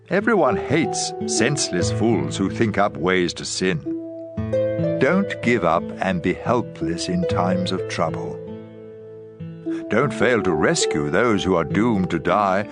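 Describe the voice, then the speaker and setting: Man acting stern low-voiced sage over music. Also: full character voice. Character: stern male sage warning against drink and fools. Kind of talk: dramatized scripture reading. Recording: music playing behind the voice. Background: guitar and instrumental music.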